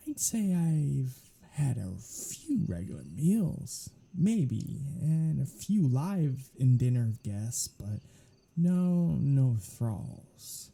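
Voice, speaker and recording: Snarky vampire for ASMR roleplay performer acting, very breathy and mellow, unhurried but lively in pitch, casually menacing and teasing. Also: full character voice. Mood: defensive, frank. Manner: sardonic, casual, swearing, teasing. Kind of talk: soft-spoken ASMR audio roleplay.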